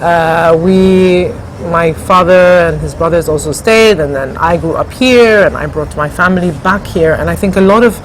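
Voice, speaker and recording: Low-voiced middle-aged woman, thoughtful. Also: moderate pace, hardly any pauses. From Ghana. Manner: thoughtful, hesitant with many fillers. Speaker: interview guest, café co-founder. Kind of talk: conversational interview. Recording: noisy background.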